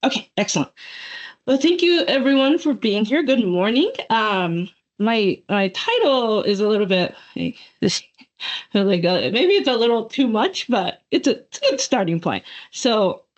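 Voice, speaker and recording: Animated Japanese-born woman lecturing over a call. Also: a little high, unhurried. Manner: animated, chatty, frequent fillers and self-corrections. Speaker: guest speaker, associate professor. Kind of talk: webinar lecture. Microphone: computer mic on video call. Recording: dull lo-fi call audio.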